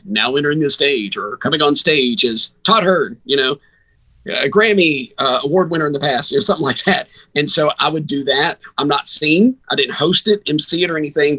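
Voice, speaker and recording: A man's voice: mid-range voice, fairly bright tone, low-quality recording. A man, a radio sports broadcaster answering questions conversationally as an interview guest.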